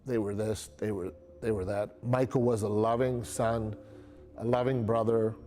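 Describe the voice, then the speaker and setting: A man's voice: on the low side, fairly even intonation, measured pace, mellow. A middle-aged American man speaking candidly in an interview, in plain words and often repeating phrases. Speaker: interviewee, dog trainer and former police officer.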